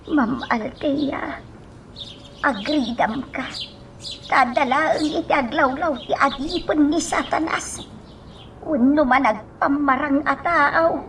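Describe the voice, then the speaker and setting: Troubled female servant, high voice, ominous, very slow delivery, radio drama. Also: fairly bright tone, a little hiss or hum, exaggerated voice. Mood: grave warning.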